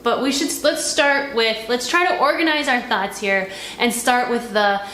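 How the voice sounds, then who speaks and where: A woman's voice: a little high, lively intonation, normal speed, bright, forceful tone. Chatty woman in a roomy echo. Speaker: host of a movie review. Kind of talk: casual conversational film review. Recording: roomy echo with faint background noise.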